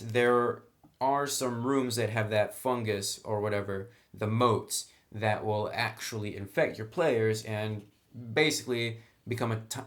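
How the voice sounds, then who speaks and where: A man giving a casual spoken review of a tabletop game, enthusiastic, with lots of "actually" and "really" and quick restarts. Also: fairly low pitch. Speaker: product reviewer.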